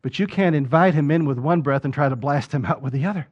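A man preaching a sermon, building from steady talk to loud, emphatic peaks. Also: a little high, quick pace, husky. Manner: rises to loud emphatic preaching peaks, acts out quoted voices. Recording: dull lo-fi sound.

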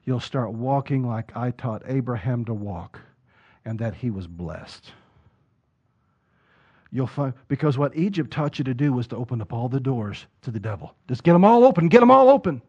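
A man preaching a sermon, building from steady talk to loud, emphatic peaks. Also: fairly high pitch. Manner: rises to loud emphatic preaching peaks, acts out quoted voices. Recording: dull lo-fi sound.

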